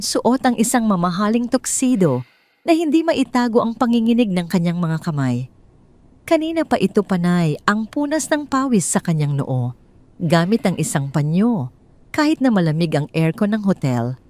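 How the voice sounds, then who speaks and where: Mellow, low-voiced woman storyteller. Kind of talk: scripted dramatic narration.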